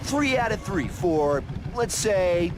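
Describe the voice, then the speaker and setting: An oily, condescending street-racing gambler in a video game. He speaks with smug mock courtesy, over clear background noise. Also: high-pitched, expressive, slow pace. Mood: smug, taunting. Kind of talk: video game cutscene acting.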